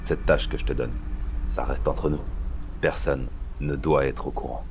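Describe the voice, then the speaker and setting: A man acting a grave, worried, secretive police superior in an audio thriller, speaking in a hushed, halting, confiding way. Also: steady tone. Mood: grave, somber. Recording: clear background noise, vehicle-like rumble.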